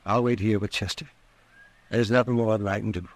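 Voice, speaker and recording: An actor playing a somber, plain-spoken frontier town doctor with a gravelly voice in an old-time radio western. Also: male voice, fairly low pitch, steady tone, fairly quick, close to an everyday voice. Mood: urgent, somber.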